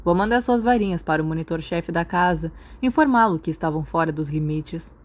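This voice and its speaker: A woman's voice: lowish voice, animated, a little fast. A Brazilian woman voicing a sardonic, menacing teenage wizard schoolboy in an audiobook, with a cool, cutting manner. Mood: coolly threatening.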